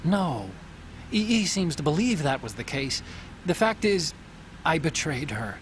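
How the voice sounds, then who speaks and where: Earnest, higher-voiced scientist ally. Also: male voice, sing-song, measured pace, a little hiss or hum. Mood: guilty, sorrowful. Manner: earnest, explanatory, hesitant. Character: male scientist ally, earnest, explanatory, troubled. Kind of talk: video game cutscene acting.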